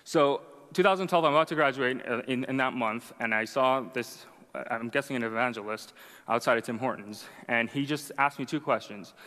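A man's voice: medium pitch, fairly quick, a bit bright, big-room sound. A young man whose first language is Arabic, telling a personal faith story to an audience in a conversational, self-deprecating way with frequent "right?" asides. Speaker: speaker sharing a conversion testimony.

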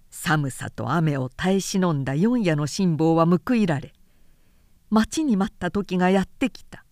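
A woman narrator giving a measured, clearly articulated reading of literary fiction in audiobook style. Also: fairly low pitch, a little mellow, no echo, studio quality.

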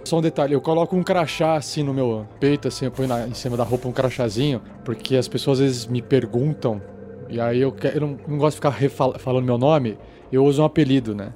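A man's voice: medium pitch, quick pace, dark tone. A man casually explaining his character as a player in a tabletop game. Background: faint music.

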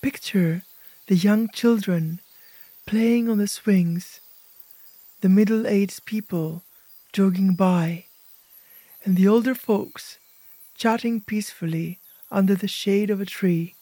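A woman narrating a guided imagery meditation as host, speaking gently in short, hushed phrases with pauses. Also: mid-range voice, no echo.

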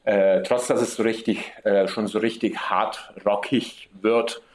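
Italian man, a bit low-voiced, guest on a chat, searching for words with lots of fillers. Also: steady tone, a little slow. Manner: hesitant, many fillers and restarts. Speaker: guest musician. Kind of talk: casual interview answer.

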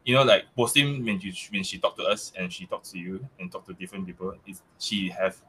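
A man's voice: low voice, fairly quick, fairly bright tone, husky, rough recording. A man from Malaysia with a Malaysian accent and English as a second language, talking in a casual team chat with frequent restarts and repeated words. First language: not English. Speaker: developer.

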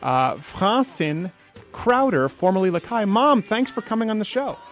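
A young adult man hosting a political talk radio show, talking fast and argumentatively with frequent asides. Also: high voice, very animated, soft background music. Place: radio studio.

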